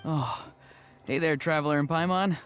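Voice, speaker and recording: A man's voice: a little high, moderate pace, loud music behind the voice, natural voice. Man playing an earnest, melodramatic young grandson. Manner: earnest, wistful, solemnly overdramatic. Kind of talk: video game cutscene acting.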